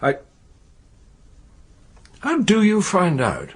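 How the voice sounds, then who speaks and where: A man's voice: high-pitched, wildly swooping pitch, very slow, a little hiss or hum, exaggerated voice. An actor playing a flustered, defensive academic in a radio drama, hesitant in his delivery.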